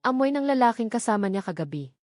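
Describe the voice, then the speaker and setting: A woman with a Filipino accent reads a scripted romance story as a storyteller, with sentimental, dramatic phrasing and pauses. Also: fairly high pitch, very animated, a little mellow, dry recording, studio quality.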